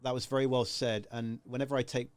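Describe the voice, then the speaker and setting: A man's voice: mid-range voice, little pitch movement, fast talker, clean tone. A man hosting a casual interview over a video call, talking in long, emphatic, rambling stretches. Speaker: podcast host.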